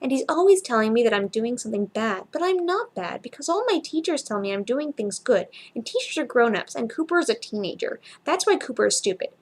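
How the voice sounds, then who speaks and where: A woman's voice: a little high, sing-song, fairly quick, hardly any pauses. A woman voicing an earnest, chatty four-year-old boy in a story, with simple, childlike run-on sentences. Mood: proud, matter-of-fact.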